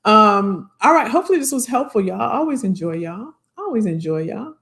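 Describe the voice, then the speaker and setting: An American woman chats to her live viewers as a career coach. She talks in a familiar, folksy way, often saying a phrase over again. Her mid-pitched voice has a slight hoarseness to it. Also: sing-song, brisk, a bit bright. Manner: chatty, familiar, repeats phrases. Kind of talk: casual live-stream chat with viewers.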